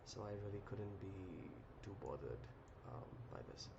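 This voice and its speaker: A young man hosting a live trading stream, chatting casually with viewers in a relaxed, rambling way with many ums and restarts. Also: low voice, measured pace. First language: English. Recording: muffled lo-fi audio with clear noise.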